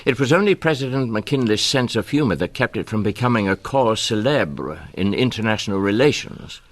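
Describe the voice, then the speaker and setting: Pompous official, dry-sounding, animated. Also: male voice, on the low side, fairly quick, no echo. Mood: stern, formal. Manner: pompous, imperious, formal. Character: stern, pompous senior British male official. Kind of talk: old-time radio drama acting.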